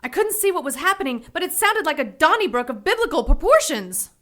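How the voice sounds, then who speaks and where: A woman's voice: sits high, sing-song, a little fast, background noise. A woman playing a plucky, sassy 1940s heroine who narrates her adventure in an audio drama with brash, wry slang. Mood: narrating, tense.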